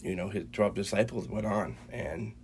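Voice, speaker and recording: A man with a congested, slightly raspy voice that sits a little low. He talks haltingly, circling back with fillers and restarts. A faint hum of noise runs behind him. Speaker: podcast co-host. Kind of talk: casual faith chat.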